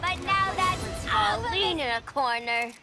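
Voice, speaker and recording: A brash, wisecracking young woman antihero talks. Her voice is very high and bounces all around. Loud game music plays beneath her. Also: expressive, measured pace. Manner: brassy, sassy, playful.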